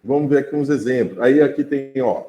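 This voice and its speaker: A Brazilian man gives a relaxed, chatty lecture, checking in with his students as he goes. His voice is mellow and sits at a middling pitch. The sound has a roomy echo. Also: fairly quick. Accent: Brazilian Portuguese. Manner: conversational, checks in with the class with tag questions. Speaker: teacher. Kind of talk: informal class lecture.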